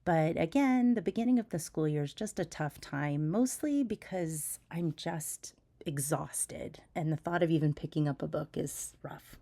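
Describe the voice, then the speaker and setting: A woman's voice: low voice, a little slow, dark tone. A woman with a stuffy head cold, a teacher chatting casually about her reading journal, with frequent ums and restarts. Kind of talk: casual chat and voiceover. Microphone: voiceover mic.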